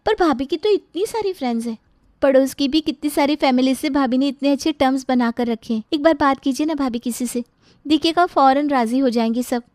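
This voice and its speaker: A woman's voice: high-pitched, fairly quick, a little mellow, no echo, clean studio sound, voice pushed up, close to an everyday voice. A woman voicing an overworked, resentful young working daughter-in-law with a complaining, pointed manner. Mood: slyly matter-of-fact.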